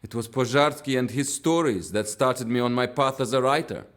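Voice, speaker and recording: An actor playing a disillusioned, haunted Soviet war journalist in a dramatic game cutscene. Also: male voice, mid-range voice, normal speed, fairly bright tone, natural voice. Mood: somber, reflective. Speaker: narrator and lead character.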